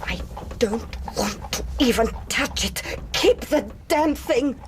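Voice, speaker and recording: Slow, defiant, bitter woman. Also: a little high, animated, background noise. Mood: refusing. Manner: measured, defiant, quietly scornful. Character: defiant, coolly bitter Greek woman avenging her brother. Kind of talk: radio drama acting.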